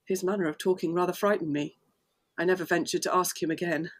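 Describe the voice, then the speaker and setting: A woman playing an earnest, anxious young gentlewoman in a gothic audio drama. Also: on the low side, fairly even intonation, quick pace, close to an everyday voice. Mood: troubled, hushed.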